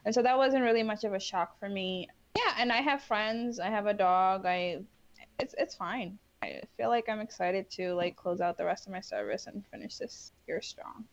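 A woman's voice: medium pitch, lively intonation, moderate pace. Young woman chatting away in an interview, lots of ums and restarts, kinda dull lo-fi audio. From Bangladesh, raised in New York City. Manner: chatty, frequent fillers and restarts. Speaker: interview guest, Peace Corps volunteer. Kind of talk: casual interview answers. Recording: dull lo-fi sound.

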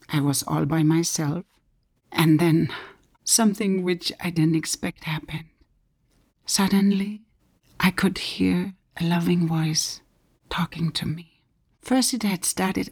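A middle-aged woman from Germany speaks about her own life with earnest feeling. Her voice sits low and has a gravelly, frayed edge. At tender moments she sinks into soft whispers. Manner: earnest, drops to soft whispers when recounting inner moments. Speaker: self-published author. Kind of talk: personal testimony.

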